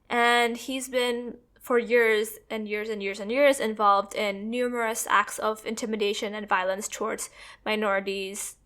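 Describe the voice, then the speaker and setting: A woman's voice: mid-range voice, fairly bright tone. A woman co-hosting a podcast, explaining politics in long, earnest stretches of casual talk. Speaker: podcast co-host.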